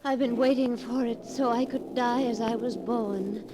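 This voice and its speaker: Actress as possessive dying bride, raised pitch, swooning intensity, vintage radio melodrama, noisy scratchy recording. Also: female voice, fairly even intonation, unhurried, natural voice. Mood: feverish, exultant. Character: young dying wife, jealous, possessive, morbidly intense. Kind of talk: 1940s radio melodrama acting.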